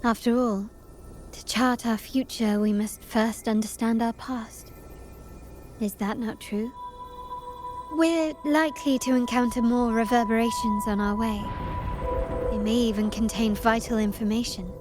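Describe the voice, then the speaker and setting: A woman voicing a poised, enigmatic woman in a game cutscene, speaking in a measured, elegant and teasing way. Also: a little high, steady tone, natural voice. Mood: calm. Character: poised, enigmatic adult woman, knowing and cryptic. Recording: music score behind.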